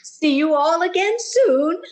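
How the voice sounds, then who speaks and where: A woman's voice: extremely high pitch, expressive, takes it slowly, noisy background, heavily acted. An actress playing a chatty, blithe older lady with an airy, sing-song delivery in a radio sitcom. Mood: apologetic. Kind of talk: 1940s radio sitcom acting.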